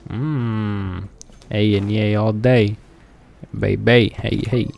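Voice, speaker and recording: A man's voice: on the low side, fairly even intonation, extremely slow, gravelly, a little mellow. A young man giving casual, joking, slangy commentary as he streams a video game.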